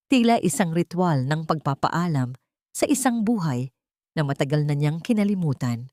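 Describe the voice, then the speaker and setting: A woman's voice: fairly low pitch, darkish tone, no echo, studio quality. A woman narrating a dramatic scripted audio story in a solemn, literary manner.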